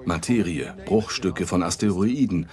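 A man's voice: sits low, unhurried, heavy background noise. A man reading a scripted documentary voice-over. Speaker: documentary narrator. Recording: voice under loud music. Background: dramatic music.

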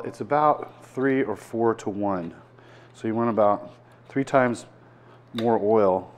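Casting: chef host in his 60s, lowish voice, relaxed step-by-step demo patter, lapel mic in a restaurant. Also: a little hiss or hum. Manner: relaxed, chatty, explains as he works. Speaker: chef and cooking show host. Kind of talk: casual cooking demonstration. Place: restaurant dining room, indoors.

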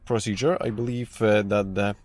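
A man's voice: on the low side, normal speed. Man explaining a car tutorial. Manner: plain, steady explaining with frequent fillers and restarts. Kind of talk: casual how-to walkthrough. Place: inside a parked car. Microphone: phone or camera held in hand, speaker off screen.

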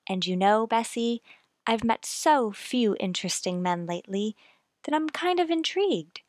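An actress plays a young lady of genteel manners in a period radio play. Her voice is high and dry, with a dreamy, intrigued way of confiding. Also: lively intonation, normal speed, dry recording, lightly acted. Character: genteel young single woman writing letters, intrigued, dreamy. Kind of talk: old-time radio drama acting.

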